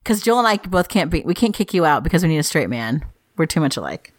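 Playful woman with a low, dry voice. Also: big pitch swings, fast, no room sound. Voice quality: rich voice. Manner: playful teasing. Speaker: podcast co-host. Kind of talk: casual chat.

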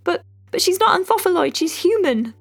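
Woman voicing a shy, high-pitched little alien boy. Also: sing-song, a little fast, vocal fry, soft background music, full character voice. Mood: earnest, defensive. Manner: hesitant, bashful, earnest delivery. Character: shy, anxious young male bee-like alien schoolboy. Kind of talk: audio drama character voice.

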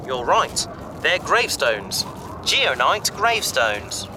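A man's voice: a little high, big pitch swings, takes it slowly, noisy background. A man playing a bookish, enthusiastic space adventurer who explains things chattily in a sci-fi audio drama. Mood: explaining, enthusiastic. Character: male bookish, eager space adventurer and explorer.

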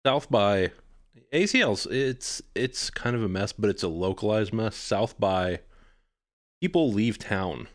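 A fairly low-voiced man from Texas hosts a casual podcast conversation. He talks freely, throwing in wry asides as he goes. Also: animated. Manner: wry, talkative, quick asides. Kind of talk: casual chat.

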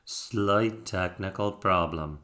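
A storybook narrator voicing a springy, cheerful male toy in a drawn-out, singsong manner. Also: deep voice, fairly bright tone. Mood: sheepish. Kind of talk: children's storybook character voice.

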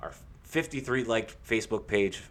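A man with a fairly low voice chats as a sports podcast co-host. He talks informally and in a halting way, circling back to restart phrases as he goes. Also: slight background noise. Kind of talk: casual sports podcast chat.

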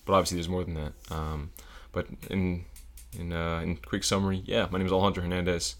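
A man's voice: low voice, little pitch movement, fast. A young man from South Florida, a student guest on a casual podcast, speaking thoughtfully with many hesitations and ums. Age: young adult.

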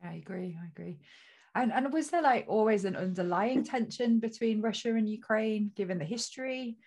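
A woman hosting an interview, asking gentle questions and murmuring agreement. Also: medium pitch, moderate pace. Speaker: podcast host.

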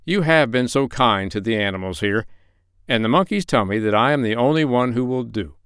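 A man's voice: medium pitch, animated, fairly quick, fairly bright tone, clear, resonant tone, no echo, studio quality. A man playing a shy, polite two-headed gazelle-like creature in a storybook, with a reserved manner. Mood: trusting.

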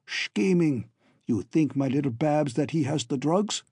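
A man's voice: a little high, animated, a little slow, a little mellow, breathy edge, no echo, clean studio sound, heavily acted. A man voicing a falsely friendly, scheming hunchback villain in a story, oily and ironic, with stilted foreign-sounding phrasing. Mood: scornful, insistent.

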